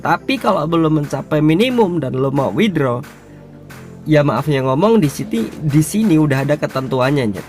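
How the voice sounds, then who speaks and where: A man, hoarse and unwell, giving a rambling, slangy screen-recorded tutorial and often clearing his throat. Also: on the high side, darkish tone. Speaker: YouTuber reviewing crypto sites.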